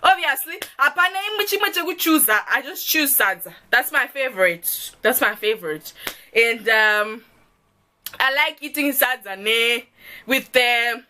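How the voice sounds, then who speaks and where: A woman's voice: on the high side, unhurried, bright, forceful tone, a little hiss or hum. A young woman from Zimbabwe with a Zimbabwean accent, chatting playfully to her viewers in a vlog and laughing often. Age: young adult. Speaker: YouTuber. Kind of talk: get-to-know-me vlog.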